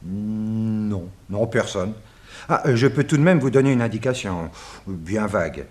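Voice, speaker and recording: An actor playing an earnest, worried police chief in a French radio drama, speaking in a deliberate, slightly hesitant way. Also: male voice, fairly low pitch, animated, darkish tone. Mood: earnest, confiding. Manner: deliberate, hesitant, matter-of-fact.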